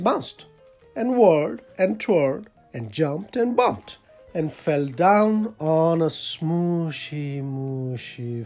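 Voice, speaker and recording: A storyteller reading a picture book aloud to children in an expressive, playful way with dramatic emphasis. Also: mid-range voice, breathy edge. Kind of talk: animated picture-book read-aloud for kids.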